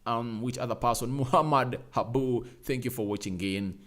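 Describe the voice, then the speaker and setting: Young male streamer host, mid-pitched, chatty and repetitive, punches lines up loud when urging likes. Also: moderate pace. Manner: reads out viewer names and comments, repeats phrases, urges likes; emphatic, rising to loud calls. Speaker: fan channel host. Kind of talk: casual live-stream chat.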